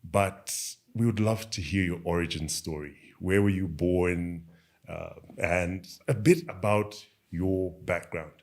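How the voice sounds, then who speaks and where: A man's voice: low voice, fairly even intonation, slow. A man who hosts a podcast, delivering a polished introduction and interviewing his guest. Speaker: podcast host.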